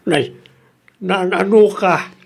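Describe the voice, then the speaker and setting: A man's voice: sits high, slow pace, gravelly, slightly rough, full character voice. An actor playing a plain-spoken father and husband who questions and scolds, with an Ilocano accent in a radio comedy drama. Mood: concerned.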